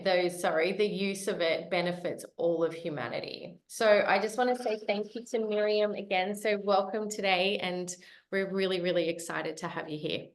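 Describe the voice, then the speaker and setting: A woman's voice: mid-range voice, reverberant. A woman hosting a webinar, reading an upbeat, welcoming scripted introduction. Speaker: webinar host.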